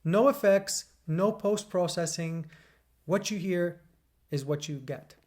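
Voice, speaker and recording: A man talks through an audio gear review with a smooth, radio-DJ delivery. His voice sits a little higher than most men's, and he keeps things upbeat and punchy, slipping in wry quips. It is recorded close on a condenser mic indoors. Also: slow, a little mellow. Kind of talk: scripted product review narration. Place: indoors beside a PA speaker. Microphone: USB condenser mic.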